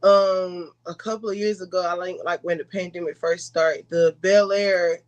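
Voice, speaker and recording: A woman's voice: on the low side, fairly even intonation, moderate pace. An American woman talking casually and conversationally as a podcast guest.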